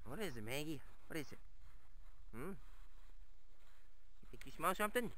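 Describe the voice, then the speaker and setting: A man's voice: on the high side, very animated, moderate pace, bright, forceful tone, slight background noise, rough recording. A man talking to his dogs in a sing-song, coaxing way while filming a walk through snowy woods on a handheld camera. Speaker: vlogger walking his dogs.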